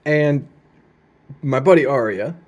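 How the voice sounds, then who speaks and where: A man's voice: medium pitch, slow pace, darkish tone. Man, mid-range voice, casual video host, off-the-cuff explaining, easygoing, dry playful humour. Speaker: video host demonstrating vintage audio gear. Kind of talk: casual informal demonstration, unscripted. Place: indoors in a room at home.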